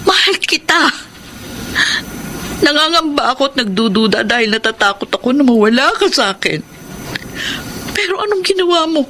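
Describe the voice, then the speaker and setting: An actress playing a heartbroken, jealous and bitter betrayed wife in a Filipino radio drama, with an emotional, aching delivery. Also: female voice, sits high, bright, forceful tone, slight background noise. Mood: jealous, hurt. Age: adult. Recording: prominent music under the voice.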